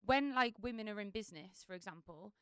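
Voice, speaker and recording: A woman's voice: mid-range voice, animated, fairly quick, fairly bright tone, clear, resonant tone, no echo, studio quality. A woman, a wedding singer chatting on a podcast, talking chaotically with lots of fillers. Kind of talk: casual chat.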